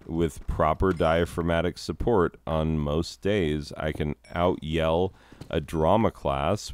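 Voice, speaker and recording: A man, a teacher who hosts his own gaming videos, telling a long rambling story about his week in a self-deprecating way, his voice a little hoarse from a cold. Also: low-pitched, darkish tone. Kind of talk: rambling casual commentary over gameplay.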